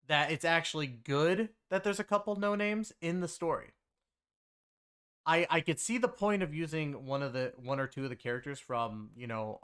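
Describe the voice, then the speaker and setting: A man's voice: mid-range voice, fairly bright tone, clear, resonant tone. A man giving a casual, rambling game story review in a conversational way, leaning on filler phrases. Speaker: game reviewer and content creator.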